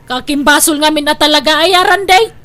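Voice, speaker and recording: A woman's voice: high voice, brisk, sharp tone. A woman with an Ilocano accent acting a scolding, exasperated mother in a comedy audio drama. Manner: nagging, chiding. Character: scolding, exasperated mother of a hard-drinking grown son.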